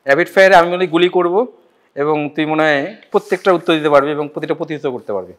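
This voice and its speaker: A man's voice: fairly high pitch, fast, a bit bright, soft background music. A man with a Bangladeshi accent hosting a casual celebrity interview in an informal, familiar way. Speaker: talk show host. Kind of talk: casual celebrity interview with rapid-fire questions.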